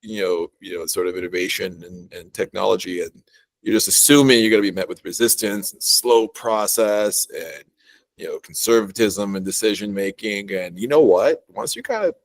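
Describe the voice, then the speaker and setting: A male company co-founder being interviewed on a podcast, talking with excitement and tripping over his words in his enthusiasm. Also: sits low, moderate pace, no echo, low-quality recording. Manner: excited, enthusiastic, stumbling restarts.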